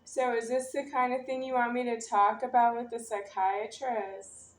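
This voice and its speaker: A woman giving a halting, disjointed, rambling monologue, as if addressing someone directly. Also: a little high, flat delivery. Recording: roomy, distant, noisy home recording.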